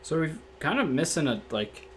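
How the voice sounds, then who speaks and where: Male host, a bit raspy, higher-pitched, easygoing card-break patter, faint room noise. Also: normal speed. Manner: relaxed, matter-of-fact, thinks aloud. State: slightly raspy voice. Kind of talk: casual card-opening commentary. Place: indoor room at a card table.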